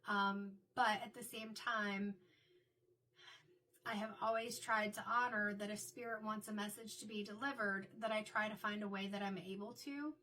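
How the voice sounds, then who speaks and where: A middle-aged woman, a card reader and medium, talking in a chatty, reflective way, her voice a little raspy from illness. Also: medium pitch, steady tone, normal speed, pushed and bright, recorded from a distance, a little hiss or hum, low-quality recording. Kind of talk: casual spoken tarot reading intro.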